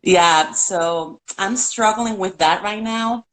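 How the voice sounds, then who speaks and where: A woman's voice: mid-range voice, slow, fairly bright tone, rough recording. Chatty Puerto Rican woman on a call. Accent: Puerto Rican Spanish. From Puerto Rico. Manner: earnest, chatty, laughs often. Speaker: caller on a live stream. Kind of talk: casual video call chat.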